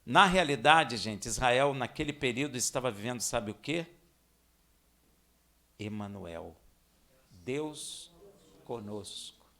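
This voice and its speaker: Male preacher, Brazilian accent, slow delivery, creaky voice, conversational sermon, rhetorical questions, dramatic emphasis. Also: mid-range voice, animated, bright, forceful tone. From Minas Gerais, Brazil. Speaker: congregation teacher and preacher. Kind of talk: expository sermon with scripture reading.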